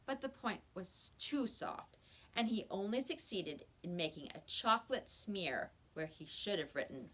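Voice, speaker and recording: A woman narrates a children's storybook expressively. Her voice is bright and forward, with lively rises and falls in pitch. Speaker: storybook reader.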